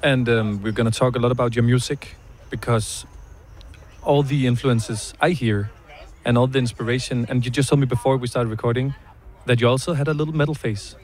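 A man's voice: mid-range voice, fairly even intonation, fairly quick, darkish tone. Chatty man interviewing outdoors. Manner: friendly, chatty, with many fillers. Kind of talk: casual interview. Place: city park outdoors.

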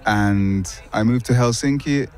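A young man from Finland, a musician guest answering interview questions in a park in a relaxed, thoughtful way with hesitant restarts. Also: mid-range voice, measured pace. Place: city park outdoors.

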